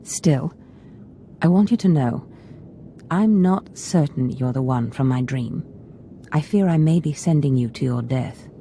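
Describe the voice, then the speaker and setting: An actress playing a scheming, ambitious female Sith lord in a video game, speaking in a measured, imperious, portentous manner. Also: low voice, warm tone, a little hiss or hum. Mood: grave, uncertain. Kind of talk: scripted video game dialogue.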